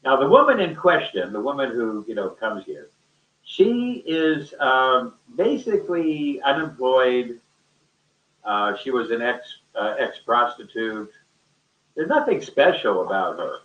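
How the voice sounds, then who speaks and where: A man's voice: medium pitch, fairly bright tone. Distant, echoey man telling a rambling story. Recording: roomy echo, distant mic, muffled sound.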